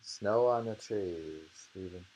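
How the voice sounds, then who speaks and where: A man chatting casually and reading short poems aloud, musing and pausing between thoughts. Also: low-pitched, a little mellow, a little hiss or hum, rough recording. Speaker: poetry reader and discusser. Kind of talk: casual chat with slow poem reading.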